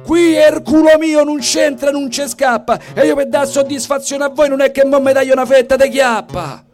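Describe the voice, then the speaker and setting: Man playing fat Roman theatre-goer for stage comedy: very high, loud, whining, stubbornly indignant. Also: steady tone, moderate pace, soft background music.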